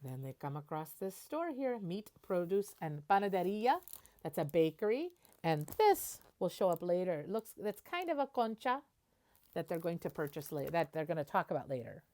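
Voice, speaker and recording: Female teacher-type reader for a children's picture book, slightly low pitch, highly animated intonation, expressive and plainly explanatory. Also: moderate pace. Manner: expressive, explains words simply. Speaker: teacher reading aloud.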